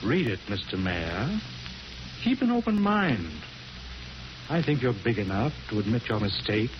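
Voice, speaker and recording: An actor playing a smooth, self-important bigoted colonel in a 1940s radio drama, formal and oily. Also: male voice, medium pitch, big pitch swings, a little slow. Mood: smugly persuasive. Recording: muffled, noisy 1940s radio broadcast.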